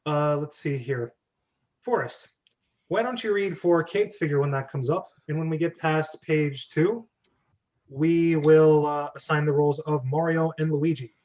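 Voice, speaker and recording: A man with a fairly high voice hosts a script reading club. He chats casually and jokes along, and the audio is a little dull and muffled. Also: unhurried, darkish tone. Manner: joking, chatty. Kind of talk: casual hosting chat.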